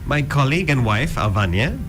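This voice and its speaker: A middle-aged man raised in South Africa talks as a radio guest, laying out ideas in a calm, measured way. His voice sits at an ordinary pitch, and clear background music runs under the studio talk. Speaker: guest speaker, Vedanta teacher. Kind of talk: radio interview.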